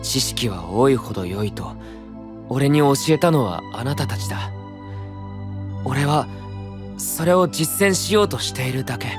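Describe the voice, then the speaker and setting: A man playing an earnest, troubled young knight of a secluded kingdom in a Japanese fantasy voice drama, soft-spoken, measured and sincere. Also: mid-range voice, expressive, over music, natural voice. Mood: earnest, resolute.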